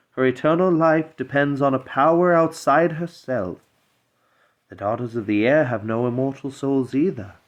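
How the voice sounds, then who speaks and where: Man reading as a solemn, slow-spoken air spirit. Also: mid-range voice, muffled recording, lightly acted. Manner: solemn, explanatory. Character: serene, ethereal female spirit, a daughter of the air.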